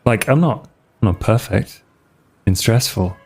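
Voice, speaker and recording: A man's voice: on the low side, expressive, measured pace, gravelly, darkish tone, husky. A man playing his character in a tabletop game, talking casually and hesitantly. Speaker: player. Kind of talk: in-character roleplay dialogue.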